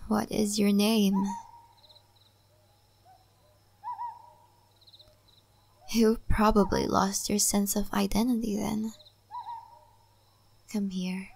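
Slow, whispering wise spirit-forest guide played by a woman. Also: mid-range voice, little pitch movement, slight background noise. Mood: gentle, musing. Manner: slow, gentle, pausing, often breathy whisper. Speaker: ASMR roleplay performer. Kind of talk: soft-spoken, half-whispered ASMR roleplay.

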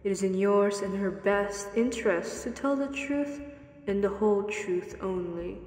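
A woman's voice: mid-range voice, a little mellow, lightly acted. A woman playing a composed, formal and impartial afterlife court examiner in a radio drama. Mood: calm, firm. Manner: formal, measured, authoritative. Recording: echoey reverberant hall.

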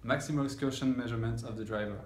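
A man's voice: medium pitch, fairly even intonation, very fast. A man in his thirties giving an informal technical explanation as an engineer, hesitant and searching for words. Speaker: audio engineer explaining a measurement process. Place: indoor listening room with loudspeakers. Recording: roomy echo with background room noise.

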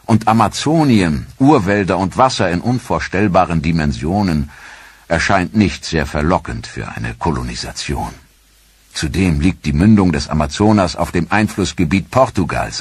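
A man reading a scripted history documentary narration in a measured, grave storytelling manner. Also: low voice, husky, no echo.

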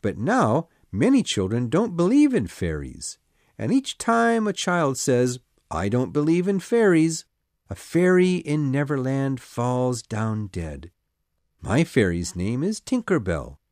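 A woman's voice: rumbling low, big pitch swings, takes it slowly, darkish tone, no echo, studio quality, voice pushed down, full character voice. A woman voicing a boy named Peter, a forever-young leader of lost children, in a storybook reading. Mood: earnest.

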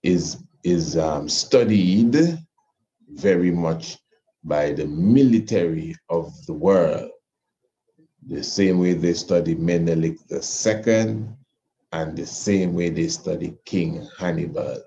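A man teaching an informal history class, speaking deliberately and haltingly with drawn-out words. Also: sits low, warm tone. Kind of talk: informal lesson discussion. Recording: muffled, noisy online call.

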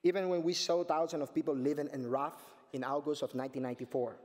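A man's voice: on the high side, fairly even intonation, a little mellow. Cuban man reading a speech in a hall. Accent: Cuban Spanish. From Cuba. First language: Spanish. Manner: earnest, measured, with frequent restarts. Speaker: panel speaker, artist. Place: conference stage at a podium. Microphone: podium microphone. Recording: long hall reverb.

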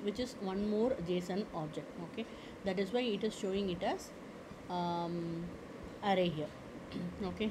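A woman teaching a screen-recorded software lesson, explaining step by step with frequent "okay" and "uh" fillers. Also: mid-range voice, noisy background. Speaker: tutorial instructor. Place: at a computer.

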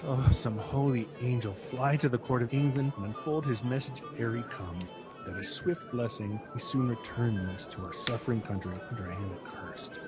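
A man's voice: mid-range voice, normal speed, natural voice, rough recording. A solemn nobleman in a radio play speaks an earnest prayer, played by a man. His voice sits in an ordinary middle range, with music under it.

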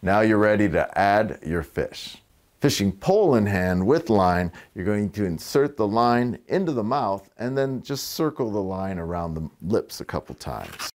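A man's voice: on the low side, measured pace. Middle-aged man teaching balloon twisting. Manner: relaxed, chatty, wry asides with fillers. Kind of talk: casual tutorial demonstration. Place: living room with fireplace, indoors. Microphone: lapel mic.

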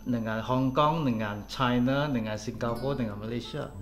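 Mandarin-native male film director, forties, halting and filler-heavy, mid-pitched, soft music underneath. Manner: halting, many fillers and repeated "ya". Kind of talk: interview answers in halting Malay. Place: small studio set with poster backdrop. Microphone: desk mic on tripod.

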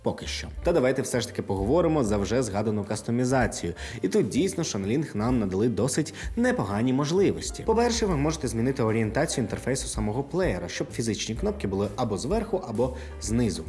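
A man's voice: mid-range voice, big pitch swings, fast talker, few pauses, a little mellow, faint music behind. A man from Ukraine hosting a casual scripted product review, talking conversationally to the viewer like a friend. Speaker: tech reviewer and video host.

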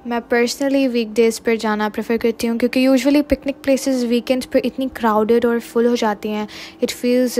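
A woman's voice: fairly high pitch, darkish tone, a little hiss or hum. A woman vlogger giving a casual voice-over narration, often switching between two languages mid-sentence. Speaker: travel vlogger narrating a road trip.

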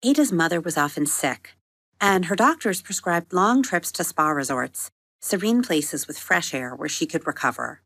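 Low-voiced woman telling a bedtime story. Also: normal speed, no room sound. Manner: gentle, expressive bedtime storytelling. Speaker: podcast host and storyteller. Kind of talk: storybook narration for children.

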